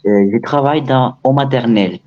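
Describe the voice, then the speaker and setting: A young man from Nicaragua, in his twenties, speaks haltingly and searches for his words. His call audio sounds dull and lo-fi. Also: mid-range voice. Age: 20s. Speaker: guest, Spanish teacher. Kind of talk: casual chat.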